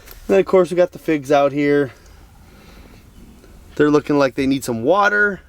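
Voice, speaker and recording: Sick man with a raspy voice. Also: on the high side, normal speed. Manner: upbeat, chatty, talks to viewers directly. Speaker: urban homestead vlogger. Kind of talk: casual walkthrough vlog. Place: small backyard greenhouse.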